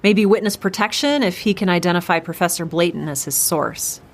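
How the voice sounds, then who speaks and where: A woman's voice: lowish voice, a little fast. A woman playing an earnest, principled government agent in an audio drama, sincere and intent.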